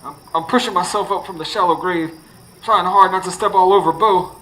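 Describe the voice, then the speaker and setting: A man's voice: high voice, fairly quick, bright, forceful tone. A man playing a grieving, frightened narrator in a live radio horror drama, telling it dramatically in the first person. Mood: terrified. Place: studio before live audience. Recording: noisy live radio stage recording.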